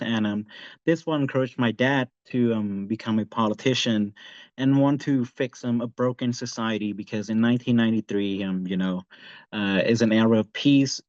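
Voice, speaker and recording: A young man, an activist introducing himself on a webinar, speaking haltingly with many fillers. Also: lowish voice, no room sound. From Cambodia. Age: young adult. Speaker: youth activist, panelist. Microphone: video call. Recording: dull lo-fi video call audio.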